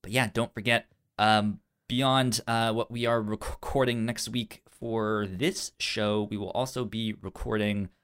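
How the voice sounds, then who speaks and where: A man co-hosting a casual movie podcast, speaking in a halting way with stammered, repeated syllables. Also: lowish voice, fairly even intonation, a bit bright, clear, resonant tone. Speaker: podcast co-host.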